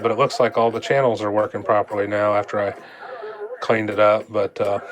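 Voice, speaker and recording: A man gives an informal, folksy hands-on repair demonstration, thinking aloud as he works. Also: fairly low pitch. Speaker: hobbyist repair vlogger. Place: indoors at a repair workbench. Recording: clear steady background noise.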